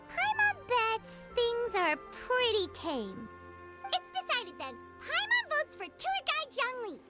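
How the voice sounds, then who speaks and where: A woman voicing a tiny, childlike fairy companion in a video game, cheeky and food-loving, with a squeaky voice and an exclamatory manner. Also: heavily acted. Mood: playful. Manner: refers to self in third person, exclamatory.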